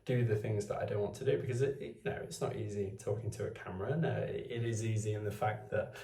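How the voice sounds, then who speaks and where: Low gravelly man musing aloud. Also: steady tone, fast talker, dark tone, reverberant, distant mic. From Wales. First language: Welsh. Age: 20s. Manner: meandering, thinking aloud. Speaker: vlogger. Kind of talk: unscripted musing to camera. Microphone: phone.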